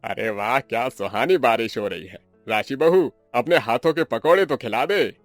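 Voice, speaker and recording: A man's voice: medium pitch, moderate pace, no echo, faint music behind, close to an everyday voice. A man voicing male relatives in a cartoon family drama, insistent and plain-spoken. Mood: cheerful.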